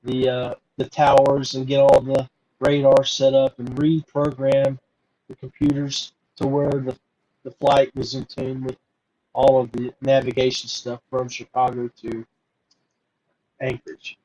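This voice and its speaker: A middle-aged or older man hosting a podcast, rambling casually to viewers in a halting, folksy way and repeating words. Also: mid-range voice, completely flat delivery, warm tone, poor audio. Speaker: podcast host.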